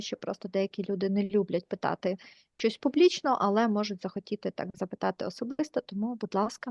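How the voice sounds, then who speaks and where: A woman's voice: medium pitch, creaky, a little mellow, dry recording. A Ukrainian woman working as a consecutive interpreter on an online call, with a Ukrainian accent. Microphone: online call microphone. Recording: dull, muffled online call audio.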